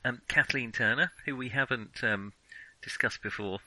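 A man's voice: mid-range voice, normal speed, pushed and bright, no room sound, low-quality recording. A middle-aged man in casual discussion as a film podcast co-host, reflective and given to hedging his remarks.

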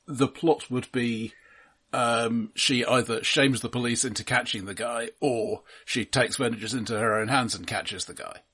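A man's voice: medium pitch, steady tone, unhurried, sharp tone, husky. A man co-hosting a film podcast, talking in a casual, analytical way.

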